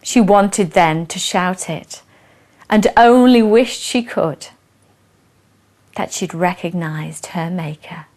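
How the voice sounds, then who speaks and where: Woman reading a poem out loud, takes it slow, dramatic phrasing, drops to a hush at line ends. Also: lowish voice. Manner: measured, dramatic phrasing with hushed line endings. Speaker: poet and presenter reading verse. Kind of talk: expressive poetry recitation.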